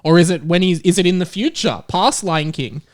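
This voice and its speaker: A man's voice: high voice, moderate pace. An Australian man hosting a comedy podcast, chatting casually with wry, mock-serious analysis of his own fan fiction. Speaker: podcast host and author.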